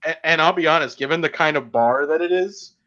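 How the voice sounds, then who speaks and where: Male podcast host; very quick, casual and jokey delivery, slightly dull recording. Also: on the high side, sharp tone.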